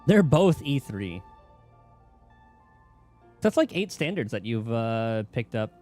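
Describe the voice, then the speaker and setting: A man with a mid-pitched voice talks casually to viewers on a gaming stream. His delivery is lively and swings up and down as he rambles and thinks out loud. Faint music plays behind him. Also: slow pace. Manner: rambling, thinking aloud, mild swearing. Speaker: game streamer. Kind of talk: casual livestream chat while gaming.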